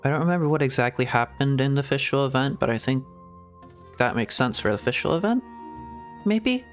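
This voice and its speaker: A man's voice: medium pitch, animated, moderate pace, faint music behind. Giggly rambling male streamer. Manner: rambling, giggly, restarts sentences. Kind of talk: casual gameplay commentary.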